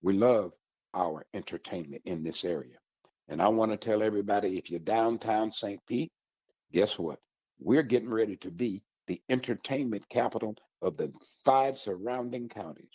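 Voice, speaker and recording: Male radio host, low and raspy, emphatic promo delivery speaking directly to listeners, muffled recording. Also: normal speed, darkish tone. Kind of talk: upbeat radio promo talk. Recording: dull, slightly lo-fi audio.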